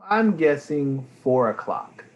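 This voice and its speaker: A man's voice: medium pitch, sing-song, slow. A man voicing a firm, upbeat father in a storybook, decisive and reassuring. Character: firm, upbeat father leading a family trip.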